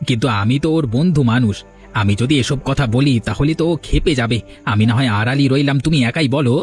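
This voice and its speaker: A man plays a bitter but timid village sidekick in a cartoon. He talks fast in an aggrieved, complaining tone. Faint music is behind him. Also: medium pitch, natural voice. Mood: resentful. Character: resentful, timid villager betraying his friend. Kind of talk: Bengali cartoon dialogue dubbing.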